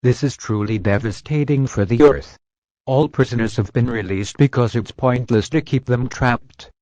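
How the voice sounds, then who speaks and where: A man's voice: medium pitch, normal speed, a little mellow, dry recording. A man reading a scripted emergency broadcast in a solemn manner. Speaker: emergency broadcast announcer in a fictional scenario. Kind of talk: scripted emergency alert narration. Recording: dull, muffled lo-fi audio.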